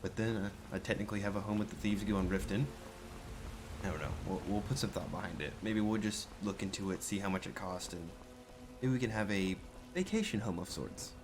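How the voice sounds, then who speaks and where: A man doing casual in-character commentary while role-playing a video game, musing and low-key. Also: a little fast, noisy background.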